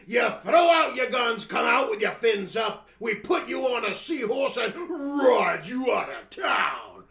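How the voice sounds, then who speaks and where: Gravelly, swaggering cartoon outlaw fish. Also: male voice, very high-pitched, bright, forceful tone, exaggerated voice. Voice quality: gravelly, raspy. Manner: folksy Western drawl, menacing taunts. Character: swaggering male fish outlaw gang leader, taunting bully. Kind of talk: cartoonish Western audio drama.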